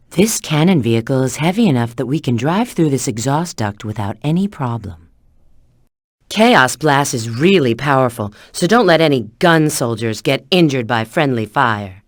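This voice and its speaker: A woman's voice: a bass voice, no room sound, studio quality. An actress playing a confident female government agent who gives clipped, instructive mission tips in a video game. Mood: briskly informative.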